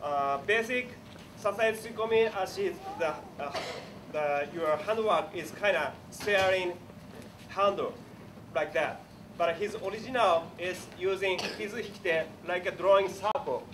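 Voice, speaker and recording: A man announcing and interpreting at a judo clinic, speaking halting English with many fillers, recorded by a far camera in a large indoor dojo. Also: high voice. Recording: distant voice in noisy room.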